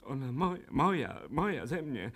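An actor playing a tormented intellectual revolutionary in a radio play, stammering, repeating himself and agitated, as if fighting nausea. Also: male voice, mid-range voice, very animated, normal speed, fairly bright tone. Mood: self-loathing. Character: tormented, self-loathing intellectual professor, nervously retching revolutionary. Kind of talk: theatrical radio drama acting.

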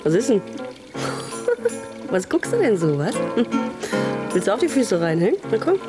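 An actress playing a lively, excitable young woman who talks colloquially in a naturalistic radio drama. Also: medium pitch, slow pace, over loud music. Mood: playful, coaxing.

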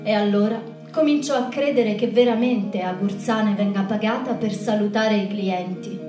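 A woman's voice: medium pitch, normal speed. Woman storytelling in an echoey room. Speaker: performer in a live show about the human voice. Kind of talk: spoken monologue and storytelling to an audience. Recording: echoey room from far off, music behind.

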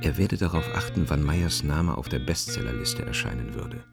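A man's voice: rumbling low, expressive, normal speed, gravelly, over music. A man reads literary narration for a radio play.

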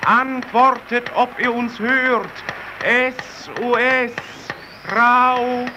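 High-pitched, desperate stranded radio operator. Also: male voice, flat delivery, extremely slow, exaggerated voice. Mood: pleading. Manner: urgent, chanting, repetitive calling. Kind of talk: German radio play acting, slow distress-call declamation. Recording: noisy old radio drama recording.

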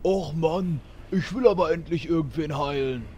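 A man's voice: fairly high pitch, moderate pace, warm tone, a little hiss or hum, natural voice. A man acting a naive, whiny newcomer to tabletop gaming in a comedy audio drama, plaintive and sulky. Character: naive, whiny beginner gamer playing a healer.

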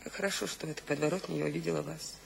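A woman's voice: low-pitched, fairly even intonation, rapid-fire, gravelly. An actress playing a tender, earnest revolutionary woman, confiding, in a radio drama. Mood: earnest, wistful. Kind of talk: theatrical radio drama acting. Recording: faint background noise. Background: faint ambient noise.

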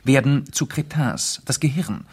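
An actor in a German radio drama playing a shrewd, composed English gentleman detective, speaking in measured, precise phrases. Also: mid-range voice, husky. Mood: explanatory, grave. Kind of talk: German radio drama dialogue, explanatory monologue.

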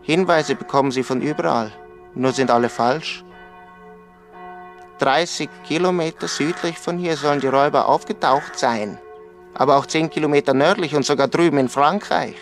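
An actor playing a young village footballer, earnestly and matter-of-factly passing on local news in a radio drama dialogue. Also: male voice, fairly high pitch, moderate pace, soft background music, lightly acted.

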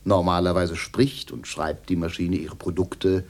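A man's voice: deep voice, fairly even intonation, measured pace, darkish tone. An actor in a radio play voicing a glib, eager American salesman who chats through his sales patter. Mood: smooth, explaining.

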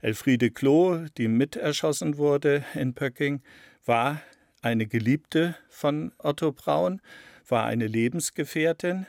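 A man giving analytical interview answers as an expert, deliberate and explanatory, stressing key words. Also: mid-range voice, no echo, studio quality. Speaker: interviewed expert on a criminal case.

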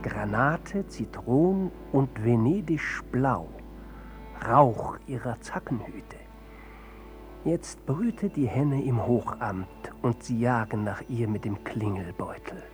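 An actor playing a pompous, world-weary fantasist poet, declaiming grandly in an avant-garde radio play. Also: male voice, medium pitch, lively intonation, slow pace, faint music behind. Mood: declamatory.

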